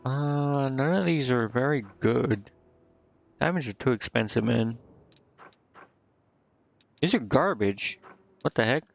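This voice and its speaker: A man's voice: medium pitch, animated, slow pace, vocal fry, pauses often, muffled recording. A man giving casual live gameplay commentary, muttering and thinking aloud with hesitation. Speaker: game streamer. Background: game music.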